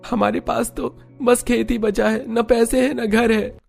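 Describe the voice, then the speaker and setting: A worried young sibling from a cartoon, voiced by a woman. The voice is fairly high and creaky, with music behind it. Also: level tone, measured pace, darkish tone.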